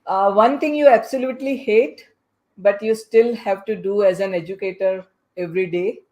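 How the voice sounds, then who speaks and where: A woman hosting a podcast panel in a conversational way, speaking haltingly and repeating phrases. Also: mid-range voice, warm tone. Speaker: podcast host moderating a panel.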